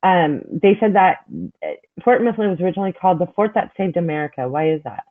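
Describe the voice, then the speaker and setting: A woman's voice: fairly low pitch, animated, fast. A woman hosting an interview, chatting casually and enthusiastically and restarting her questions. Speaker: podcast host interviewing a guest. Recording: phone-like call audio.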